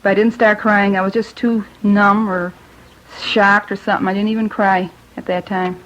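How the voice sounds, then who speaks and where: A woman giving plain first-person testimony in a subdued, halting way. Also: mid-range voice, little pitch movement, a bit bright. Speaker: witness, widow of the victim. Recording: dull old radio recording.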